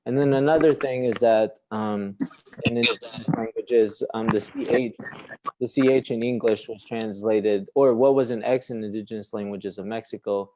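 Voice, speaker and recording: A man speaking as a panelist on a video call. He talks in a halting, thoughtful way, restarting as he searches for words. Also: on the low side, steady tone, a little mellow, a little hiss or hum, low-quality recording. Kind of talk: panel discussion.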